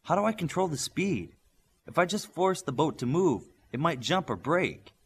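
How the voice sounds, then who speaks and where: Man playing an unsure, lively-pitched young superhero boy. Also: moderate pace, slightly rough, exaggerated voice. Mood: unsure, curious.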